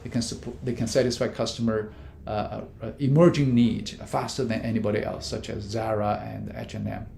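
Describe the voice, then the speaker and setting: A man, a business professor, giving measured answers in an interview with frequent fillers and restarts. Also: fairly even intonation, creaky, warm tone. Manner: many fillers and restarts, non-native grammar; measured, explanatory. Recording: echoey room with faint rumble.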